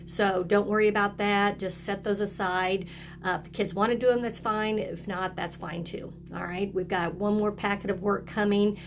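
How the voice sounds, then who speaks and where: A woman's voice: medium pitch, steady tone, normal speed, a little hiss or hum. A woman teacher talking to young children in a video lesson, encouraging them and inviting them to join in. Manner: encouraging, asks children to repeat along. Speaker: early-grade teacher.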